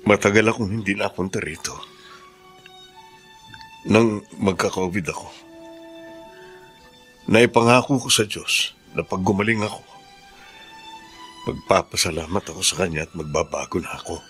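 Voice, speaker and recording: A man's voice: deep voice, moderate pace, long pauses, fairly bright tone, soft background music. An actor in a radio drama playing a humbled, repentant father who speaks earnestly. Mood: reflective.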